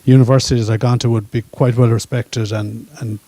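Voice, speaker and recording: A man, a current master's student, speaking on a panel in a relaxed, hesitant way with many fillers. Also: medium pitch, fairly quick, no echo. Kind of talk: panel testimonial. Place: university event hall.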